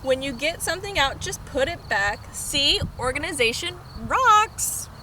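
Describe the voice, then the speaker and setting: A fussy, organized boy from a children's storybook, acted out by a woman reader. He is high and very lively, bossy and emphatic in how he instructs. The recording is noisy, made outdoors on a camera. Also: measured pace, full character voice. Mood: instructive. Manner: instructing, emphatic, screeching when upset. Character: bossy, organized boy, fussy cousin who panics easily.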